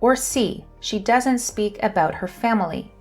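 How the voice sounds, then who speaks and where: Slow, careful woman teacher. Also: mid-range voice, darkish tone, soft background music. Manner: slow, careful reading of questions and answers. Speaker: English teacher and channel host. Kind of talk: scripted lesson narration.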